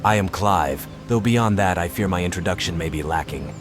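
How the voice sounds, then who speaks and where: A man acting a solemn warrior with a low voice, haunted and earnest. He speaks in measured, formal phrases as in a fantasy game, with music playing behind him. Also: close to an everyday voice. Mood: grave, courteous. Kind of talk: scripted video game voice acting. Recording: studio voice under game music.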